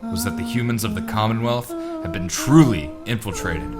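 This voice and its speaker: A man puts on a melodramatic reporter narrating a sinister exposé. His delivery is theatrical and mock-serious, with pitch that swings widely. Loud guitar music runs underneath. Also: animated, normal speed, close to an everyday voice. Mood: grave, portentous. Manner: theatrical, portentous, swooping. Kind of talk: mock-serious dramatic reading of a news article.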